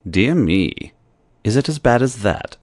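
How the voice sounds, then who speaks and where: A sly French agent, sunk very deep, slow, quiet mockery, dry sound. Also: male voice, lively intonation, no echo. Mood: sly, gallant. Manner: quiet, slow, dryly gallant. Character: shrewd sly middle-aged Frenchman, quiet and mocking.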